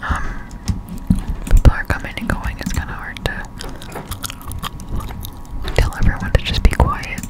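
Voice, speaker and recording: Whispering young woman, close-up ASMR. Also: rough recording. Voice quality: breathy unvoiced whisper. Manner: casual, halting, slangy explanation. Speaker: gaming ASMR streamer. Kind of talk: whispered ASMR gameplay commentary. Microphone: close-up desktop condenser microphone.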